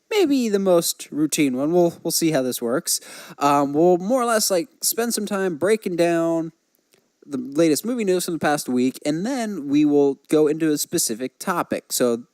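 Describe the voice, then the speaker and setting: A man with a slightly high voice chats as a podcast host. He sounds enthusiastic and conversational, and the recording is dry and close, with little room sound. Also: a little mellow, no room sound. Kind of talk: casual podcast chat.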